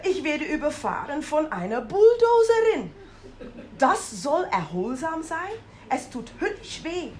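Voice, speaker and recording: A woman's voice: sits high, a little hiss or hum. A woman with a Dutch accent reading a humorous story aloud, playful and self-mocking, with exclamations. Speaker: comic storyteller. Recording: roomy with faint ambience.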